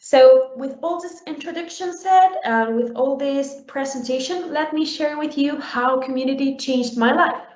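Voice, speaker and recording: Young woman from Uruguay, fairly high voice, casual conference speaker with frequent restarts. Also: moderate pace, a little mellow. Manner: conversational, many fillers and restarts. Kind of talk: conference talk. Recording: roomy, dull lo-fi sound.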